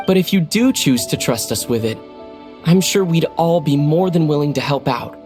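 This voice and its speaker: Actor as a gentle, higher-voiced young man. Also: lively intonation, normal speed, soft background music, lightly acted. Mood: reassuring. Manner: gentle, reassuring. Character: kind, reassuring young man, patient. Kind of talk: video game voice acting.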